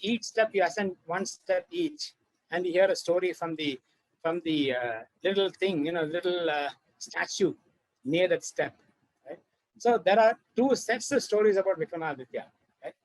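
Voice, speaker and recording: A man's voice: high voice, moderate pace, dry recording, poor audio. A man giving an informal, explanatory talk for children as an expert, often repeating and rephrasing his points. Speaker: expert author and researcher.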